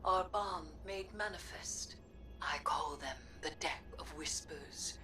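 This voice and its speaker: An actress playing a solemn, cryptic witch in a video game, speaking deliberately, like an incantation, often near a whisper. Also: low-pitched, recorded from a distance, over music. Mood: mysterious, reverent. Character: cryptic, solemn witch versed in dark magic. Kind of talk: dramatic video game narration.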